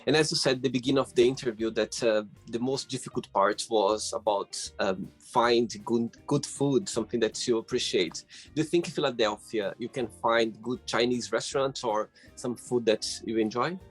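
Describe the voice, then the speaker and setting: Husky-voiced friendly male host. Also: medium pitch, moderate pace, faint music behind. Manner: friendly, encouraging, laughs often. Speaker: interview host. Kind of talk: casual interview host.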